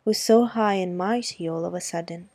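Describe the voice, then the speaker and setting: A woman's voice: fairly low pitch, normal speed, a little mellow, breathy edge, no room sound, studio quality. Woman reading as a feisty girl. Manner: blunt and defiant. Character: feisty, stubborn young Southern girl.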